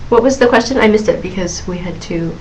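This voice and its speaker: A woman's voice: medium pitch, fairly quick, a little mellow, a little hiss or hum. A woman in her thirties hosting her own live question-and-answer stream, chatting loosely with lots of giggles, fillers and restarts.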